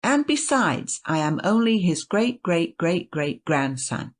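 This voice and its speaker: A woman's voice: fairly low pitch, very animated, slow pace, exaggerated voice. A woman voicing a melodramatic male number seven who complains of his ill treatment, speaking in a raised, plaintive voice. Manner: plaintive, declamatory.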